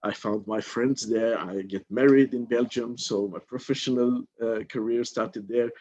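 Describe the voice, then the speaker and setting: A man's voice: medium pitch, steady tone, moderate pace, darkish tone. A man from Morocco who served as a member of parliament, giving a thoughtful talk at a mentorship forum, stopping often on fillers. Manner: thoughtful, hesitant with many fillers. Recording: slightly dull lo-fi audio.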